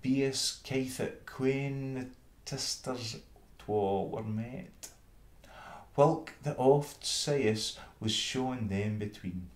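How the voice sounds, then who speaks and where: A Scottish man reading an old fable in verse with measured, dramatic storytelling. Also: medium pitch. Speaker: reader. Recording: roomy, echoing space.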